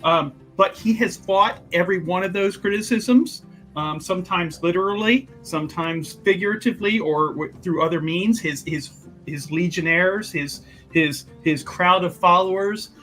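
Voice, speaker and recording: A man's voice: high-pitched, moderate pace, a bit bright, faint music behind. A man playing a tabletop game, casually recapping what his character has been up to, often stopping to search for words and restarting.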